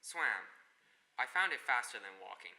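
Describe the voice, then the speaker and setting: A man's voice: mid-range voice, big pitch swings, moderate pace, creaky, bright, forceful tone, heavily acted. A man playing a defiant, uneasy castaway hunted as prey in an amateur drama, speaking in a terse, guarded way. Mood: grimly defiant. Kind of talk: amateur short story dramatization.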